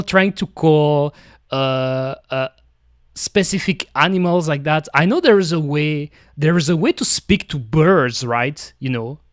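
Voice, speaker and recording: A man's voice: on the high side, no echo, studio quality. A young man from Belgium with a Belgian French accent, chatting casually as a streamer and rambling with lots of fillers. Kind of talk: casual chat.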